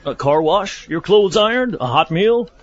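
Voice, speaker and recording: Man playing a gushing, high-voiced police sergeant. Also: lively intonation, normal speed. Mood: starstruck, flustered. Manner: gushy, easily flustered, fawning. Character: gushing, starstruck, flustered male police sergeant. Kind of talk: comedic audio drama acting. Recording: muffled lo-fi audio drama.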